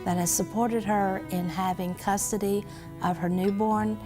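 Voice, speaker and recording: A woman, a court program official, describing a participant in a measured, formal way. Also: medium pitch, level tone, music in the background. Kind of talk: formal spoken remarks.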